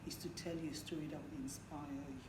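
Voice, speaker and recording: A hoarse woman from Nigeria, hosting a talk show in a conversational interview style. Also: low-pitched, fairly even intonation, quick pace. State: hoarse, partly lost voice. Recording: echoey room, clearly noisy background.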